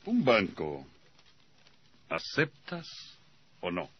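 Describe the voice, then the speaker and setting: A gruff robber on the run in a radio drama. His husky voice swings widely in pitch as he talks, curt, impatient and defiant. The old recording sounds dull. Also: wildly swooping pitch, very slow, heavily acted. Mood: guarded, urgent. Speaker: actor in a radio play. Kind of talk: radio theatre acting.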